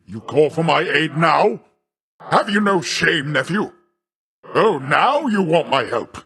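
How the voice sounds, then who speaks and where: A man's voice: fairly high pitch, animated, slow pace, creaky, fairly bright tone, full character voice. A man playing a boastful, jovial sea god in a video game, talking like a grandiose, theatrical uncle. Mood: chiding. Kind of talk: video game character acting.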